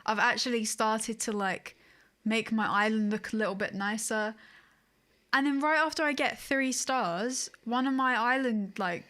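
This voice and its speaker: A woman's voice: a little high, a little slow, pushed and bright. A woman co-hosting a podcast, chatting casually about video games in an informal, wry storytelling way. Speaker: podcast co-host.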